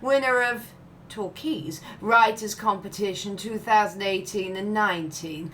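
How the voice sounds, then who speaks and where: A woman playing a prim, proud mature businesswoman in an audio comedy, genteel, a little hesitant and quick to scold. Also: mid-range voice, a bit bright, a little hiss or hum, natural voice. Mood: curious, genteel. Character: prim, proud mature businesswoman, easily embarrassed by her friend. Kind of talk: family comedy audio play acting.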